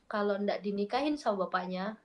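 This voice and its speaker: A woman's voice: medium pitch, a little fast. Chatty young woman with a Makassar accent. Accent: mixed Makassar. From Makassar, Indonesia. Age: young adult. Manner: chatty, storytelling, laughs easily. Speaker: video chat stranger. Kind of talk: casual chat. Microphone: video call.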